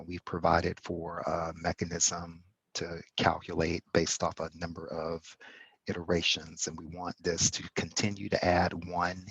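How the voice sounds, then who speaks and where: Very deep, gravelly, flat-voiced man. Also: dry recording, low-quality recording. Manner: halting, self-correcting explanation with fillers. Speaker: instructor. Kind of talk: screen-recorded coding tutorial.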